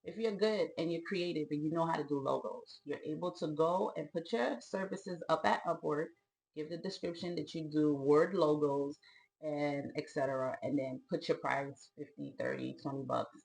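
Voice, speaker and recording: A woman's voice: deep voice, normal speed, gravelly, low-quality recording. A woman with a Haitian Brooklyn accent, co-hosting a casual chat and explaining business ideas with enthusiasm. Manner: enthusiastic, animated, talks with her hands in her words. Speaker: co-host of a business channel.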